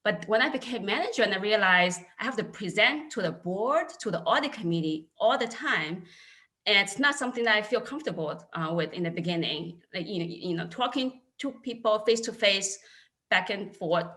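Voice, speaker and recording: A woman's voice: mid-range voice, lively intonation, a little fast, pushed and bright. A woman in her thirties from China with a Chinese accent, speaking candidly as an accounting panelist over a video call. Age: 30s. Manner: earnest, candid storytelling. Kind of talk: casual panel talk.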